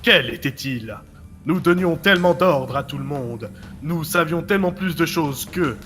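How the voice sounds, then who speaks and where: A man acting a mocking, menacing director in an audio drama, with deliberate, theatrical taunts. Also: a little high, a bit bright. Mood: taunting, accusing. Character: mocking, menacing male director, hero's forgotten other self. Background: music.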